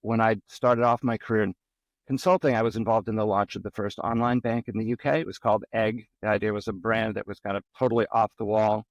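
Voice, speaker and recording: A man's voice: lowish voice, fairly even intonation, quick pace, dry recording, lo-fi sound. A middle-aged man from the Boston area, a podcast guest telling personal stories in a casual, rambling way with many ums. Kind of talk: casual interview storytelling.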